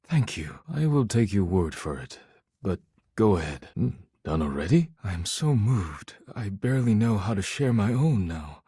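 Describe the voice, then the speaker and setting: A solemn, earnest male video game character who loves poetry. His voice is low and heavily husky, recorded close and dry. He speaks formally and with measured pride, choosing each phrase with care. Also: big pitch swings, mellow, dry recording, exaggerated voice. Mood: moved, tender. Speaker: voice actor in a dating simulator game.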